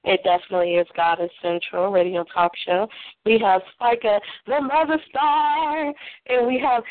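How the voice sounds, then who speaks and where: A woman's voice: on the high side, steady tone, moderate pace, dry recording. Giggly woman hosting a phone-in show. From Nashville, Tennessee. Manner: giggly and effusive. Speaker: radio talk show host. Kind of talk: casual chat. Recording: tinny phone line, dry.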